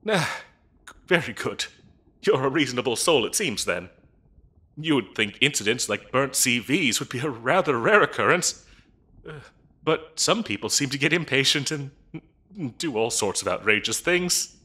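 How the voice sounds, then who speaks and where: A man's voice: medium pitch, expressive, normal speed, pushed and bright, slightly rough. A man playing a fussy, bureaucratic demon interviewer in a comedic audio roleplay, officious and chatty with hesitant, dryly polite phrasing. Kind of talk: comedic audio roleplay acting, addressing the listener.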